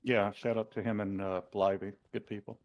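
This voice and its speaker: A man's voice: lowish voice, flat delivery, normal speed, a little hiss or hum. A man co-commentating a video game tournament stream, laid-back and self-mocking in his humor. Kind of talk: casual livestream game commentary.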